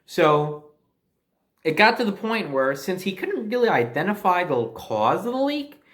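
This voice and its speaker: A man's voice: high voice, very animated, unhurried, a bit bright. An American man telling a personal anecdote in a casual, conversational and emphatic way, as a storyteller. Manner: conversational, emphatic, mildly profane.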